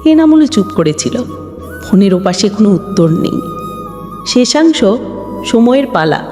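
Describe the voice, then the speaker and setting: A woman's voice: on the high side, very animated, a little slow, mellow. A woman reading a scripted emotional story as a storyteller, in a dramatic, sentimental delivery. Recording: long hall reverb, music bed. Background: background music.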